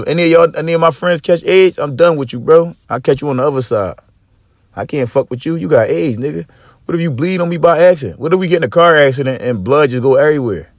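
A man hosting a podcast in casual chat, with a slightly raspy voice and loose, profane, joking rants. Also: no echo, studio quality. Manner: profane, joking rants with big pitch swings. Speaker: podcast host.

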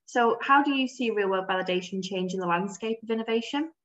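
A woman's voice: medium pitch, brisk. Woman hosting a podcast. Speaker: podcast host. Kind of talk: podcast interviewing. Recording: slightly dull recording.

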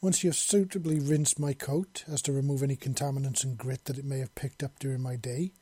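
Man acting out imperious feathered mistress, female bird creature, formal, precise, inquisitive, storybook character, dry close sound, slightly husky. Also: mid-range voice, steady tone, fairly quick, warm tone, no room sound, close to an everyday voice. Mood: commanding.

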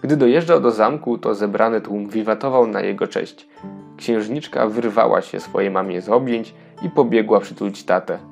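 A man's voice: on the low side, normal speed, creaky, soft background music. A man narrating a scripted children's fairy tale as a storyteller.

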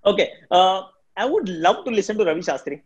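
A man from Delhi with an Indian accent, a cricket commentator whose first language is Hindi, answering interview questions in a shrill, quick, energetic way. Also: slightly rough, highs cut off. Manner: fast, energetic talker.

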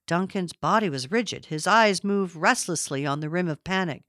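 A woman's voice: fairly low pitch, moderate pace, a bit bright, no echo, studio quality. A woman narrating a literary story from a script. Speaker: audiobook narrator.

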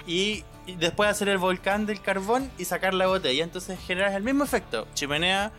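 A Chilean man with a slightly raised pitch chats casually among his siblings. He explains things step by step and drops into teasing banter. Faint music plays behind him. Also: fairly quick, pushed and bright. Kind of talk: casual family chat.

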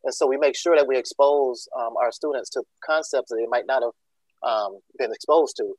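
A man's voice: mid-range voice, normal speed, darkish tone, dry recording, rough recording. A man from Georgia, a school district leader answering interview questions with many ums and restarts. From Georgia, USA. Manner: many ums and uhs, restarts, earnest explaining.

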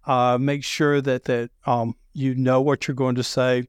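A middle-aged man with a deep Southern accent, talking loudly and telling sales stories with many restarts. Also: a little slow, smooth, dry recording. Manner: loud, animated storyteller with frequent restarts. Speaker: sales podcast guest. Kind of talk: casual conversation.

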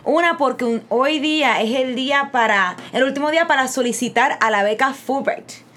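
A woman's voice: sits high, moderate pace, a bit bright. A young Puerto Rican woman co-hosting a casual podcast, explaining things with examples and asides. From Puerto Rico. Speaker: podcast co-host.

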